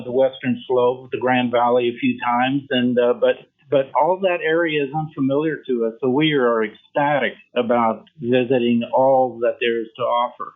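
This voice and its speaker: An older man from Memphis whose first language was French, a physician guest in a podcast interview, speaking in a measured, formal way with frequent pauses and fillers. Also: mid-range voice. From Memphis, Tennessee. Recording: tinny phone line.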